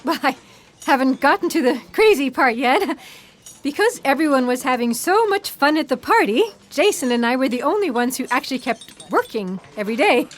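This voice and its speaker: A woman playing an anxious, defensive paleontologist with a flustered, halting delivery in a comedic sci-fi audio play. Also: sits high, expressive.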